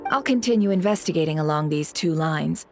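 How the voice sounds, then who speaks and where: A woman playing a calm, analytical boss in a video game, speaking in a deliberate, matter-of-fact way. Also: low voice, over music. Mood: serious, analytical. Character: composed, analytical female boss, calm and measured.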